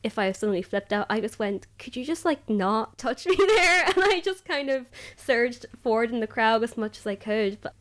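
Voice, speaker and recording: A woman's voice: on the high side, normal speed, hardly any pauses. A nineteen-year-old Irish woman telling personal stories casually in a group discussion, chatty and peppered with "like", often quoting herself. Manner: chatty, animated filler "like", quotes herself. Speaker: roundtable participant.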